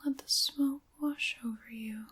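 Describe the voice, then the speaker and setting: A woman playing a sinister, seductive dark witch in an ASMR roleplay, whispering and speaking softly in a slow, theatrical, hushed manner close to the microphone. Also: high voice, steady tone. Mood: hushed, savoring. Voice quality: breathy, whispery. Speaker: ASMR performer.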